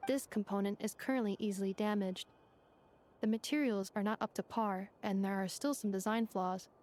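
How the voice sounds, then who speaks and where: A woman voicing a polite, precise young female robot assistant in a video game, speaking in a measured, formal, clinical way. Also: medium pitch, fairly even intonation, a little mellow, slight background noise, lightly acted.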